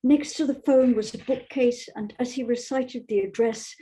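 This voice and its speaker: A woman's voice: a little high, steady tone, moderate pace, mellow, husky, low-quality recording. A woman, speaking as a guest novelist in thoughtful literary talk and reading. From Scotland.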